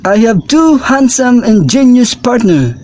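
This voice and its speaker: A young man from the Philippines reading a class report as a guest on a mock radio show, slow and careful. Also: extremely high pitch, big pitch swings, a little mellow. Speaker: guest student presenter.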